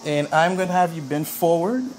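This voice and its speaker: Guy chiropractor, voice a bit high, casually guiding a patient, some room noise. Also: a little fast, a little mellow. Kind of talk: instructing and checking in with a patient during an exam. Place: spacious indoor treatment studio. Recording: noisy room recording.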